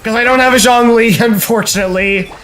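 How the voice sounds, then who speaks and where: A man's voice: extremely high pitch, brisk, fairly bright tone, soft background music. A man co-hosting a live game stream, chatting casually and working out his thoughts aloud with many fillers. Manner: musing, theorizing, hedging with fillers. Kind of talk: casual live gameplay chat.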